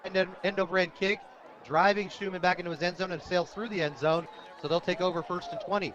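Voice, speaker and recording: An American man with a high voice announces a football game live on the radio. He works as the play-by-play caller, following the action as it happens. The broadcast sound is dull and lo-fi. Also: steady tone, fast, a bit bright, some chatter behind. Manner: reads sponsor plugs between plays.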